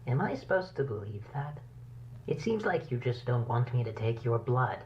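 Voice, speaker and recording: A man's voice: medium pitch, very animated, normal speed, natural voice. A man playing a composed, skeptical doctor who questions a monstrous inmate in a clinical, dismissive manner, in an analog horror series. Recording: dull lo-fi horror tape, faint noise.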